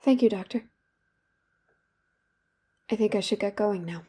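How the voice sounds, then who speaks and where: A woman's voice: medium pitch, measured pace, warm tone, breathy edge. A young woman playing a guilt-ridden, bitter therapy patient in a confessional, self-loathing audio drama monologue. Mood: subdued. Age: young adult.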